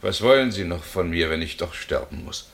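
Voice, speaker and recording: A man's voice: deep voice, lively intonation, normal speed, gravelly, a bit bright, exaggerated voice. An actor playing a desperate condemned man who pleads his innocence with theatrical passion in a radio play. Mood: fearful, subdued. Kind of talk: 1960 German radio drama acting.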